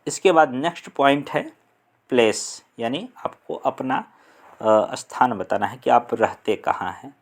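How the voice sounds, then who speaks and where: A young man from India with a Hindi accent, explaining an English lesson slowly and deliberately, pausing on fillers. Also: mid-range voice, expressive. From Uttar Pradesh, India. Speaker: English teacher.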